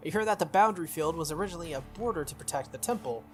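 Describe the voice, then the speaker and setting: A man voicing a formal, earnest young female knight from a visual novel, in stiff, measured phrasing. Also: fairly high pitch, animated, soft background music, pitched above the performer's own voice, natural voice. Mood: firm, explanatory. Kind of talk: visual novel character read aloud.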